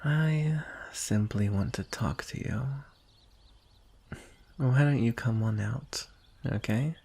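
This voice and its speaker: A man's voice: mid-range voice, vocal fry, husky, full character voice. A man voicing a teasing, coaxing dragon with a smug streak of menace, in a fantasy ASMR roleplay. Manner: slow, coaxing, softly threatening.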